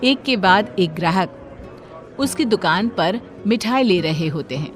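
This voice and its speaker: A woman's voice: mid-range voice, expressive, takes it slowly, music in the background. A woman narrator reading a scripted horror tale in storytelling style.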